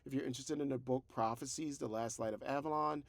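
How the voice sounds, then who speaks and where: A man's voice: mid-range voice, fairly even intonation, quick pace, creaky, no echo. A man talking casually as a creator and host, giving encouraging, motivational advice and repeating phrases for emphasis. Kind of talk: casual advice talk to camera.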